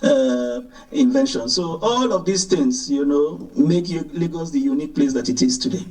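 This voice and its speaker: A man with a Nigerian accent, explaining as an interview guest in a deliberate, halting, emphatic way, recorded at some distance from the mic. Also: a little high, lively intonation, darkish tone. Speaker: interview guest, newspaper editor and journalist. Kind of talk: conversational interview commentary.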